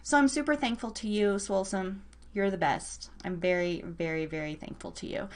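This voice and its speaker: A woman's voice: medium pitch, moderate pace. A woman hosting a live horror story podcast, chatting casually and informally, repeating words for emphasis. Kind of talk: casual live show chat.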